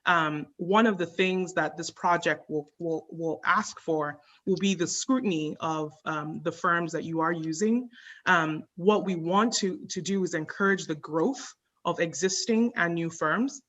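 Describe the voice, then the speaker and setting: A woman on an advisory committee giving earnest, measured remarks at a public meeting, pausing often on "um". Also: fairly low pitch, fairly bright tone, highs cut off. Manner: earnest, measured, frequent ums.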